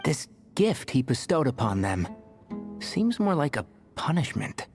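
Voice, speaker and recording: An actress playing a composed ruins investigator in a video game, speaking in a measured, analytical way. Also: female voice, extremely low pitch, very animated, a little mellow, faint music behind, full character voice. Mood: thoughtful.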